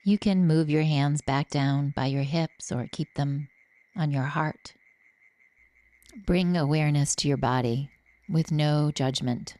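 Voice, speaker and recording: A woman leading a guided yoga nidra meditation, speaking gently and soothingly with long pauses. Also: low-pitched, no room sound.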